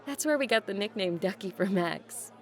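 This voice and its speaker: An actress playing a sardonic, resentful adult daughter of a tycoon in an audio drama, dryly sarcastic and teasing. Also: female voice, medium pitch, lively intonation, normal speed, faint music behind, natural voice. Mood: wry, teasing. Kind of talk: naturalistic audio drama acting.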